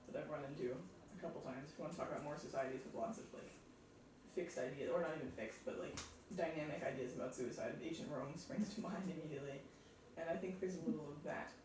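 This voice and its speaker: A man's voice: fairly high pitch, a little mellow, slight background noise. A man discussing literature at a seminar, thinking aloud with many restarts and false starts. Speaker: literature researcher. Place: large echoey room. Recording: roomy, from far off.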